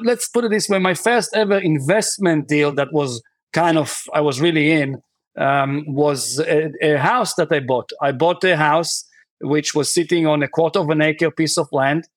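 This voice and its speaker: Podcast guest: man from Israel, somewhat high voice, dry close sound, talks haltingly with lots of uhs. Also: no room sound. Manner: hesitant, many fillers and restarts. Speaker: podcast guest, real estate investor. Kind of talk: conversational interview answers.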